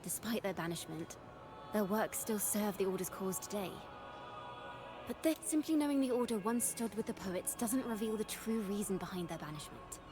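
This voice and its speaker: An actress playing a composed, knowing woman who explains things in a measured, articulate way in a video game. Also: mid-range voice, expressive, over music, natural voice. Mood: composed, serious. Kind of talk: scripted video game dialogue.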